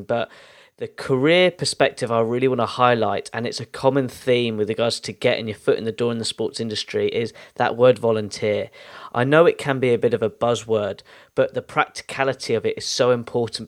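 A man hosting a podcast, addressing listeners in an earnest, emphatic, motivational way. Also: mid-range voice. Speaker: podcast host.

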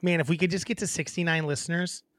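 A woman's voice: lowish voice, steady tone, fast talker, no room sound. A young woman co-hosting a podcast, blunt and crude, teasing her co-host in casual chat.

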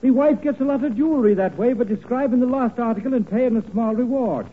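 A man's voice: extremely high pitch, quick pace, slightly rough, narrow, tinny audio. An actor playing a talkative, easygoing policeman in a 1940s radio comedy. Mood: chatty, pleased. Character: talkative, easygoing policeman, fond of rambling anecdotes. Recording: thin, worn 1940s radio recording.

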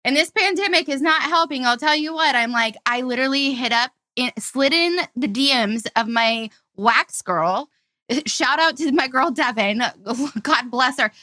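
A woman's voice: sits high, a little slow, sharp tone. A woman hosting a casual interview, talking in a gushing, slangy way. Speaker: host, interviewer. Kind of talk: casual chat.

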